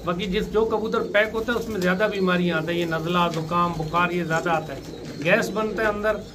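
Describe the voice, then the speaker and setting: A man's voice: high voice, a little fast, noisy background. A man with a Pakistani Urdu accent, a pigeon keeper giving plain, instructive advice in a casual interview, often repeating his points.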